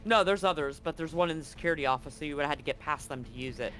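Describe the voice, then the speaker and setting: A man's voice: on the high side, very fast, fairly bright tone. A man improvising casual, sweary narration as game master of a tabletop game. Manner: casual, profane. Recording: music behind the voice. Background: music.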